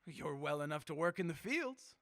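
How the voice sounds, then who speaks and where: High, wildly swooping mad dictator. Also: male voice, big pitch swings, moderate pace, fairly bright tone. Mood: impatient, threatening. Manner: pompous, theatrical, sneering. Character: megalomaniacal, murderous male dictator gloating madly. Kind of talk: old-time radio horror acting.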